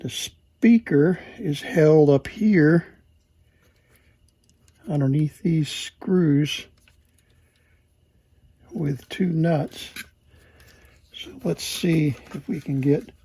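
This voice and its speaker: Husky man muttering through a slow repair. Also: on the high side, warm tone, dry recording. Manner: halting, muttering to himself while working. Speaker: repair hobbyist and video host. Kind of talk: casual think-aloud repair narration.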